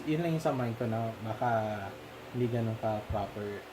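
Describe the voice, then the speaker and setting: A man's voice: medium pitch, a little fast, background noise. A man with a Filipino accent chatting casually about a film, hesitant, full of fillers and restarts. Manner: hesitant, many fillers, mixes languages. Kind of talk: casual film discussion chat.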